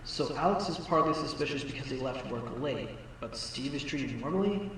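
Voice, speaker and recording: A man's voice: mid-range voice, animated, normal speed, fairly bright tone, a little hiss or hum, close to an everyday voice. A man voicing a sarcastic fox, a former con man turned rookie lawyer, in an amateur audio drama with a wry delivery. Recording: echoey, distant mic, faint music.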